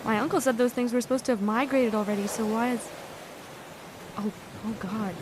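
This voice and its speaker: A woman playing a frightened young hostage in an audio drama, speaking in an anxious, halting, pleading way. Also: on the high side, steady tone, noisy background, natural voice. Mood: panicked.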